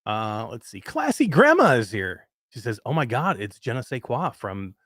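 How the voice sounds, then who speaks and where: Man, 50s, animated host, swooping pitch, dry sound. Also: moderate pace, dry recording. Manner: excited, animated chat shout-outs. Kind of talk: casual live stream chat.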